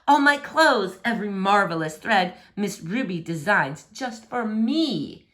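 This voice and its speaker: A woman's voice: medium pitch, expressive, fairly bright tone. A woman voicing a vain, pompous prince in a rhyming storybook, haughty, theatrical and easily outraged. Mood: shocked, gushing. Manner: haughty, theatrical, exclaiming, drawn-out emphasis. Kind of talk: storybook read-aloud in rhyming verse.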